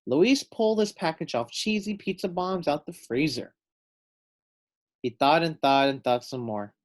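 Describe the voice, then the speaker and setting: A man's voice: a little high, unhurried. A man reading a children's storybook aloud, adding simple explanatory asides for young listeners. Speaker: storybook reader.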